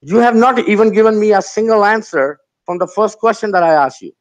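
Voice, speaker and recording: A man argues defensively in a heated debate and keeps pressing his own question. His voice is a little high for a man. The sound is dry and close. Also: animated, a little fast, dry recording, muffled recording. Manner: defensive, insists on being heard. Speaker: debate guest.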